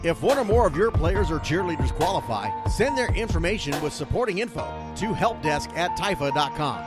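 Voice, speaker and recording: A man's voice: a little high, sing-song, brisk. A man reading a scripted radio announcement as an announcer. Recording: produced spot over loud music bed. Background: loud music.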